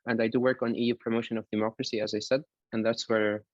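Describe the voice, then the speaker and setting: A man with a Lebanese accent, an academic introducing himself on a panel over a video call in halting phrases. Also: medium pitch, little pitch movement, dry recording. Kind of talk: informal self-introduction on a panel. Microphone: online video call. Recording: dull lo-fi online call audio.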